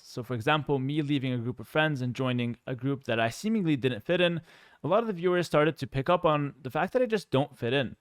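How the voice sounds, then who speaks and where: Fast-talking young man, clean voice. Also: medium pitch, clean tone, dry recording, clean studio sound. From Canada. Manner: measured, candid, explanatory, with frequent 'you know' asides. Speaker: professional gamer and content creator. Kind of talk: personal talk to viewers, reflective monologue.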